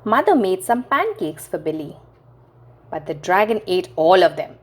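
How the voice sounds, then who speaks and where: A woman reading a children's picture book aloud in an expressive, sing-song storytelling manner that speaks directly to young listeners. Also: fairly low pitch, normal speed.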